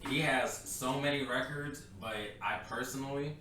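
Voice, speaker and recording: A man's voice: mid-range voice, fairly even intonation, moderate pace, bright, forceful tone. A man in his twenties co-hosting a podcast, talking in a blunt, opinionated, casual way and laughing easily. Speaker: podcast co-host. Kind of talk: casual chat. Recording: very echoey room, far from the mic, faint noise.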